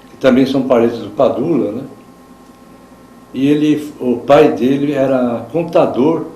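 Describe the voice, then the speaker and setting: Old brazilian guy from inland são paulo accent, slow talker, pauses and corrects himself remembering stuff, echoey room. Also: medium pitch, warm tone. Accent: Brazilian, São Paulo state interior. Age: older. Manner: pausing, correcting himself as he recalls. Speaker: childhood friend giving a testimonial. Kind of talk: slow reminiscing testimonial. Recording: echoey room, faint background noise.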